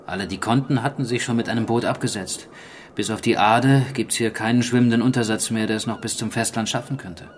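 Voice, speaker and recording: A man playing a sober archaeologist in a radio drama, speaking in a measured, explanatory way. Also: medium pitch, fairly even intonation, a little hiss or hum, lightly acted. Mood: grave, factual. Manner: measured, matter-of-fact, explanatory. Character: sober male archaeologist explaining a zombie plague. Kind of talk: naturalistic German radio drama acting.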